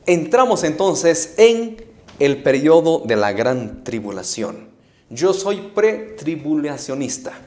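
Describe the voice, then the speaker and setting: A man's voice: on the high side, very animated, takes it slowly, darkish tone. A middle-aged man with a Guatemalan Spanish accent, preaching an emphatic Bible study to camera, using rhetorical questions and repetition. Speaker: evangelist preacher.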